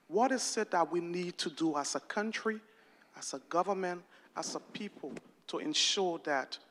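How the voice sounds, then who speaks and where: A woman from Liberia earnestly asking a formal question as an audience member at a public forum. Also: fairly low pitch.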